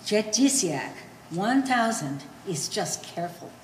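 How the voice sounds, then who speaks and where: In a reverberant hall with faint noise, a woman acts out an old immigrant mother in a stage play. She is shrewd and quarrelsome, arguing in blunt broken English with a lively, swooping delivery. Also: medium pitch, takes it slowly. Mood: shrewd, grumbling. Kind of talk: live stage play acting. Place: art gallery stage reading.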